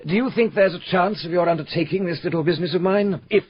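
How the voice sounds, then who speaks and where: An actor playing a troubled, well-mannered gentleman in a radio drama, speaking in a polite, confiding, clipped way. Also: sits high, fast, slightly rough, no echo. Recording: dull, roomless lo-fi radio recording.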